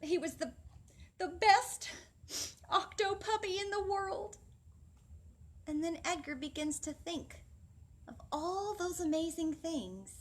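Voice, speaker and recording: A woman hosting a children's storytime, expressive and sing-song, speaking directly to young listeners. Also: extremely high pitch, slow pace, pauses often, a little hiss or hum. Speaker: children's librarian, storytime host. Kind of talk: animated picture-book reading and instruction for young children. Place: library room, seated indoors.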